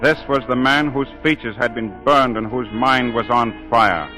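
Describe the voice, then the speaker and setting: A grave, bright-voiced opera singer narrator. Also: male voice, mid-range voice. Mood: ominous, grave. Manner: grave, measured, theatrical storytelling. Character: male opera singer looking back gravely on horror. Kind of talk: 1940s radio drama narration and dialogue. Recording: vintage 1940s radio broadcast, music underneath.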